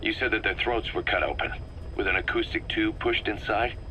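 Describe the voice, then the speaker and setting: A man playing an analytical, wary military second-in-command in a video game, explaining things in a measured, methodical way. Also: on the low side, pushed and bright, a little hiss or hum. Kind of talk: scripted video game cutscene dialogue.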